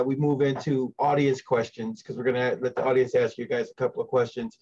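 A middle-aged man hosting an interview in a casual, chatty way over a video call. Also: medium pitch. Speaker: interview host. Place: indoor room on a video call. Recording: dull lo-fi call audio.

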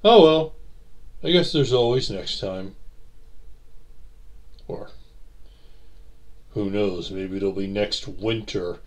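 Man acting expressive, easygoing guy in audio roleplay. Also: on the low side, slow, long pauses. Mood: easygoing, musing.

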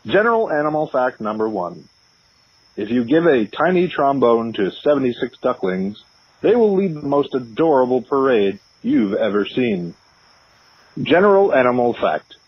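A man playing a stubborn prank caller who recites absurd facts in a deadpan announcer manner over a lo-fi phone-in line. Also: medium pitch, moderate pace, slightly rough.

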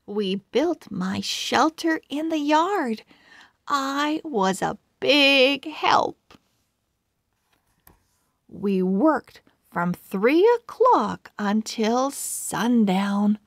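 A woman narrating a children's storybook aloud, expressive and carefully articulated. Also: a little high, drawn-out words, vocal fry, dry recording, studio quality. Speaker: children's book read-aloud host.

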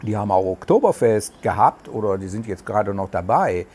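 A man's voice: fairly low pitch, expressive, brisk, a little mellow, husky. German man rambling to camera, chatty. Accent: German regional dialect. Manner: rambling, many hesitations, chatty. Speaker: vlogger. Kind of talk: casual talk to camera. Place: covered terrace outdoors in the tropics. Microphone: lapel mic.

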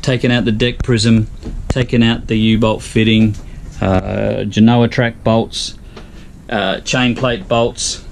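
A man's voice: fairly low pitch, little pitch movement, takes it slowly, creaky, a little hiss or hum. An older Australian man giving a chatty, folksy vlog tour of his sailboat cabin, recorded on an action camera. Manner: chatty, folksy, thinking aloud. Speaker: sailing vlogger. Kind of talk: casual vlog narration.

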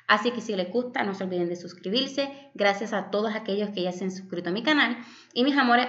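A woman's voice: medium pitch, a bit bright, dull audio. Chatty young Puerto Rican woman vlogger. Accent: Puerto Rican Spanish. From Puerto Rico. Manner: affectionate, chatty, addresses viewers as "my loves". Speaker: home vlogger. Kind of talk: voice-over vlog narration.

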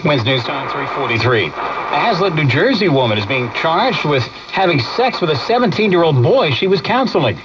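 A man's voice: fairly high pitch, big pitch swings, a little fast. Man reading radio news through noisy old set. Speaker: news reader. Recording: noisy, dull vintage radio speaker.

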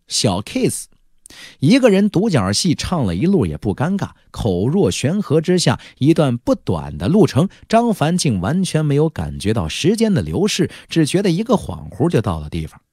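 Very animated, husky male audiobook narrator. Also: medium pitch, moderate pace, no echo, studio quality. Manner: playful, wry asides. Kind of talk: dramatic, colloquial storytelling narration.

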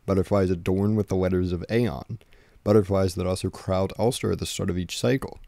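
Young male essay narrator, low register with heavy fry, close and dry recording. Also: steady tone, brisk, dark tone, no echo. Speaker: video essay host. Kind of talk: scripted commentary narration. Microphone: close dry voice-over microphone.

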